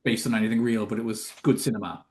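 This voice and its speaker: A man from Ireland with a mixed Dublin and Wicklow accent softened by years in Canada, chatting casually and self-deprecatingly about films as a podcast co-host. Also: on the low side, flat delivery, fast. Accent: Irish, Dublin and Wicklow mix with Canadian influence.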